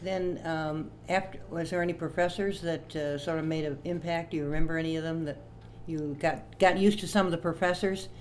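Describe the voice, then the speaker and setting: A woman's voice: low voice, steady tone, brisk, hardly any pauses, darkish tone. Woman interviewer on a noisy recording. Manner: prompting with simple questions. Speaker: interviewer, oral history librarian. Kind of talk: oral history interview. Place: interviewee's home.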